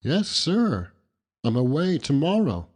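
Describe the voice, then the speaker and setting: Man voicing a slow, earnest old fisherman. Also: on the high side, big pitch swings, darkish tone, husky, voice pushed up, full character voice. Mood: warm greeting. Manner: plain-spoken, earnest, dialect speech. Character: humble, earnest old English fisherman, gentle and devoted. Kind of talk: audiobook character reading.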